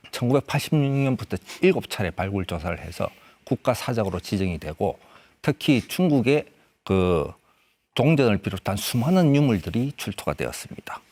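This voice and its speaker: A middle-aged archaeologist on a studio panel, delivering prepared remarks in a steady, formal way. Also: male voice, fairly low pitch.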